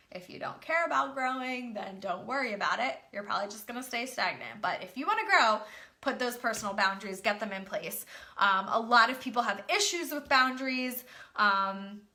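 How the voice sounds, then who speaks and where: Bright, high-voiced woman, stuffy nose. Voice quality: slightly nasal. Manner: emphatic, repeats key phrases. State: congested from a cold. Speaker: business coach. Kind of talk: casual motivational talk to camera.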